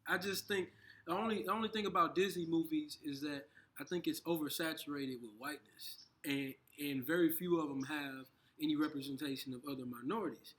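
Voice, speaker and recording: A man co-hosting a casual conversation, talking in halting bursts with frequent restarts. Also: fairly high pitch, fairly bright tone. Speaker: co-host.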